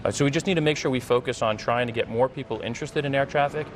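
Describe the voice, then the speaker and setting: A man's voice: medium pitch, fast talker. Earnest American man, faint noise behind him. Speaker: interview guest, congressional candidate and former air traffic controller. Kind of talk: live TV news interview answers.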